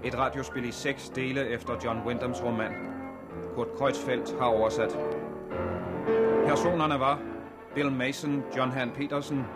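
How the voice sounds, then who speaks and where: A man's voice: mid-range voice, fairly even intonation, long pauses. A man reading scripted credits as a formal radio announcer. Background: loud music.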